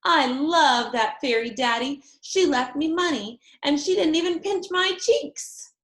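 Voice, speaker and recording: Woman voicing an excitable, high-pitched little schoolgirl. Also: measured pace, echoey room. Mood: happy, curious. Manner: exclamatory, emphatic, childlike phrasing. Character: excitable, talkative little schoolgirl, wide-eyed and bubbly.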